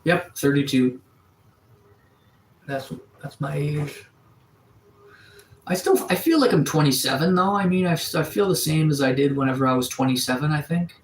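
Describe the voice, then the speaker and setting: A man in his thirties from Atlantic Canada, chatting casually on a live stream, relaxed and rambling with lots of fillers and restarts. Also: a little high, moderate pace, long pauses, roomy sound, a little hiss or hum. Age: 30s. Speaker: livestreamer. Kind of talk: casual live-stream chat reading viewer comments. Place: sunroom.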